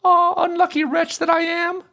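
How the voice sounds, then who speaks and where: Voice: very high-pitched, lively intonation, unhurried, gravelly, pitched above the performer's own voice, exaggerated voice. A storyteller voicing a spiteful, haughty young princess with exaggerated, theatrical exclamations for a fairy tale. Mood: wailing, regretful.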